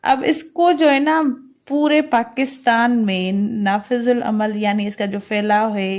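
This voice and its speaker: A woman lecturing in a halting, deliberate way, alternating between reading and explaining, mixing in English terms. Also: on the high side, a little mellow. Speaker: law lecturer.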